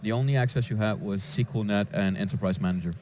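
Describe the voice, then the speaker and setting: A man from Denmark, a database developer answering interview questions in a conference lounge, with frequent halting restarts. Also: fairly low pitch, darkish tone, a little hiss or hum. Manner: hesitant, with many restarts and fillers.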